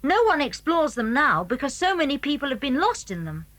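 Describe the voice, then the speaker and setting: An eager English schoolboy in a children's audio drama. The voice is high and very lively, recorded dry, with a brisk, confident air. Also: female voice, no echo. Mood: knowing, instructive.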